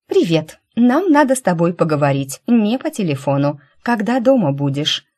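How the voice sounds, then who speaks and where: A woman's voice: on the low side, sing-song, moderate pace, darkish tone. A woman voicing a cheerful, pleading twenty-year-old sister in an audiobook, direct and wheedling. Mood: brisk, cheerful.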